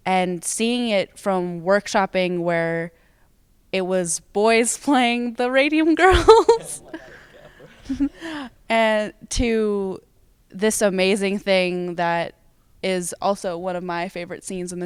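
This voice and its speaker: A woman's voice: medium pitch, clear, resonant tone, no echo. A young American woman giving casual interview answers as a student theater performer. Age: young adult.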